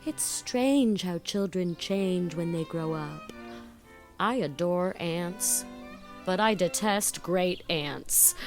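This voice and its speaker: Gushing vain young college girl in an audio drama, chatty and indecisive, a slightly lower voice than most women, soft music faintly underneath. She runs on in eager, emphatic bursts. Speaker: voice actor.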